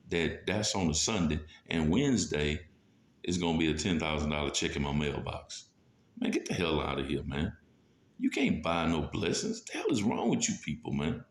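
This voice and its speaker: Male preacher, low creaky voice, blunt and profane, ranting informally over scripture in an echoey room. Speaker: street-style religious preacher. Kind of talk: informal scripture teaching with mocking rants. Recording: roomy, echoing sound.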